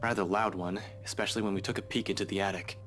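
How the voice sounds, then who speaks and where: Actor as a very low-voiced, guarded young investigator. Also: male voice, fairly even intonation, fast talker, a bit bright, lightly acted. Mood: troubled. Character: guarded, dry young male police investigator. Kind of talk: visual novel voice acting.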